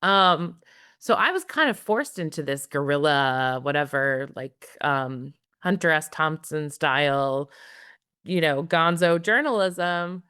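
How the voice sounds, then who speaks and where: A woman in her thirties, a journalist guest talking fast and wryly with lots of fillers in a casual interview. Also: low-pitched, animated, fairly bright tone, clear, resonant tone, dry recording, studio quality. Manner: fast, filler-heavy, wry.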